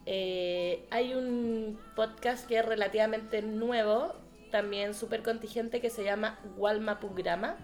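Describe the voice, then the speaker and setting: A woman's voice: medium pitch, fairly even intonation, slow pace, a little mellow, soft background music. A Chilean woman hosting a podcast in a casual, chatty style. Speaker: podcast host. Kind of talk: casual chat.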